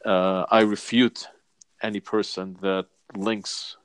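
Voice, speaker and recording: A Palestinian man speaking as an interview guest, measured and deliberate, laying out his points one by one. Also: on the low side, flat delivery, smooth, dry recording. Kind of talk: earnest advocacy interview.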